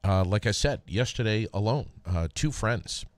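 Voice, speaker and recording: An American man hosting a podcast, talking casually and haltingly with frequent pauses. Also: fairly low pitch, animated, dry recording. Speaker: podcast host, former radio DJ. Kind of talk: casual solo podcast talk with read sponsor plug.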